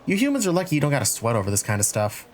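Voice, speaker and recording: Man playing an animated, eager young dinosaur student. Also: mid-range voice, racing. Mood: casual explaining. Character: friendly, eager young male dinosaur student class president. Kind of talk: fan dub visual novel acting.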